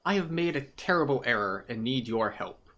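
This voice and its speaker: A man's voice: medium pitch, lively intonation, normal speed, a bit bright, dull audio, natural voice. Fantasy game wizard played by a man, apologetic, formal and pleading. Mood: regretful. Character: troubled, apologetic male wizard pleading for help.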